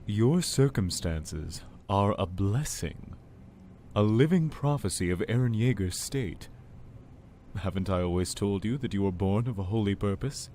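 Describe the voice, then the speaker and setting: A man playing a manipulative, falsely tender cult lord in an audio drama, speaking in deliberate, condescending, formal phrases. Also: fairly low pitch, expressive, darkish tone, a little hiss or hum. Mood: probing, pressing.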